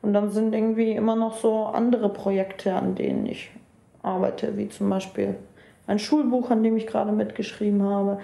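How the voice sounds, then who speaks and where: A woman in her thirties, a writer, talking haltingly with many fillers in an interview. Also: medium pitch, sing-song, vocal fry, mellow, reverberant. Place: living room.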